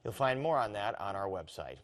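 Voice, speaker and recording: A man's voice: lowish voice, lively intonation, fairly quick. A man anchoring a local news show, delivering a polished scripted sign-off.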